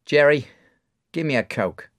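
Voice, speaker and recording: A man voicing a rough but kind bar owner who talks in a brash, streetwise way in a storybook reading. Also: on the high side, lively intonation, measured pace, fairly bright tone, voice pushed up. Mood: gentle.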